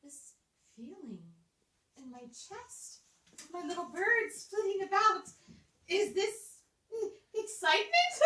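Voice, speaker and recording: A tiny squeaky folksy toy. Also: female voice, very animated, recorded from a distance, full character voice. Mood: tender. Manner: old-fashioned folksy phrasing, drawn-out and tender. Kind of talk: puppet show acting. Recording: roomy, voice from off-mic.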